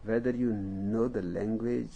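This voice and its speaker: A man with an Indian accent, a native Hindi speaker, telling a story as a spiritual teacher in a deliberate, unhurried way with long pauses. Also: on the low side, steady tone, vocal fry, mellow, slightly rough, highs cut off. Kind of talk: slow storytelling discourse.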